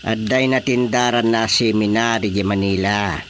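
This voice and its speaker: A man's voice: on the low side, fairly even intonation, moderate pace, a bit bright, slight background noise, natural voice. A man with an Ilocano accent playing an easygoing but cautious middle-aged village chairman in a comedy radio drama. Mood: amused.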